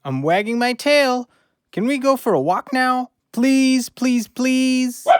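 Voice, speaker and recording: A man's voice: extremely high pitch, slow pace, clear, resonant tone, dry recording, studio quality. A man playing a loyal, eager rescue dog in an audio drama, earnest and pleading with his human.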